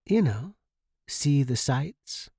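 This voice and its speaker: A man's voice: mid-range voice, expressive, extremely slow, gravelly, mellow, slightly rough, dry recording, clean studio sound, heavily acted. A man voicing a flirtatious, tentative woman space pilot in an audiobook story, with a casual way of talking. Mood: flirtatious, hopeful. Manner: casual, suggestive.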